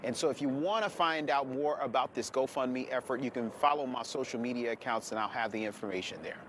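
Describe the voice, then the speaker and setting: A man's voice: mid-range voice, a little fast, fairly bright tone. A man with an American accent delivering a TV news report from outside near an airport. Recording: outdoor traffic and ambient noise.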